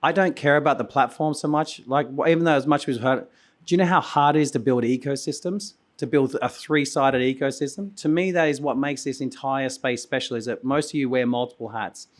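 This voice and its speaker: A man with an Australian accent, hosting a conference and giving a keynote speech while losing his voice, coughing now and then, energetic and restarting phrases. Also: medium pitch, fairly quick. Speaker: conference host. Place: conference hall stage.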